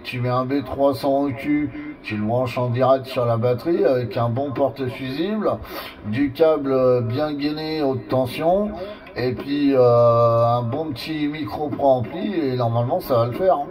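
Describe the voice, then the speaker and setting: Mellow-voiced man heard distantly over radio. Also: medium pitch, measured pace, faint music behind. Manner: explanatory, colloquial. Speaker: amateur radio operator. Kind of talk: casual radio chat giving advice. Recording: distant two-way radio sound.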